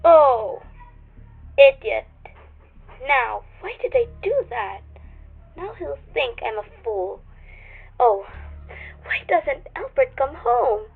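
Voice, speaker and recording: An actress playing an anxious, demanding invalid wife in a radio suspense drama, insistent and dramatic, stammering when alarmed. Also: female voice, high-pitched, very animated, takes it slowly, gravelly, pauses often, breathy edge, faint music behind, exaggerated voice. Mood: agitated, impatient. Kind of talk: old-time radio suspense drama acting. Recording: tinny, phone-like radio drama audio.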